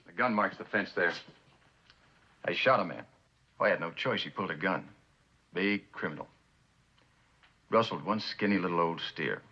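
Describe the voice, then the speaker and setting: A man's voice: low voice, sharp tone. An actor playing a bitter, guarded war veteran and former lawman, terse and wry, with an American accent in western drama style. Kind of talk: mid-century American western drama acting. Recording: dull, lo-fi old drama soundtrack.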